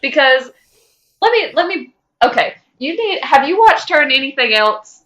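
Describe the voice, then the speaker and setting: A woman's voice: sits high, very animated, normal speed, pushed and bright, muffled recording. A woman co-hosting a podcast in casual fan chat, speaking in an emphatic, opinionated way. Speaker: podcast co-host.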